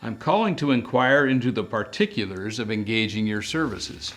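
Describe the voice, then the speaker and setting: A man's voice: lowish voice, animated, moderate pace, a little hiss or hum, close to an everyday voice. A man voicing a priggish, anxious male English professor in a detective audiobook, speaking formally and wordily. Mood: apologetic, strained. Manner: formal, wordy, fussy.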